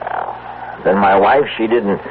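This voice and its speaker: An actor playing a worried, insistent husband in an old-time American radio drama, speaking in halting, pressing questions. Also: male voice, lowish voice, huge pitch swings, creaky, crowd noise, heavily acted. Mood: urgent, pressing. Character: worried, insistent husband phoning a doctor secretly. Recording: muffled, noisy old radio recording.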